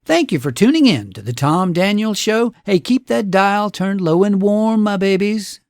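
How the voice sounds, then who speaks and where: A man's voice: sits high, animated, moderate pace, darkish tone, dry recording, studio quality. A man hosting a radio show, narrating a scripted story with folksy, dramatic phrasing.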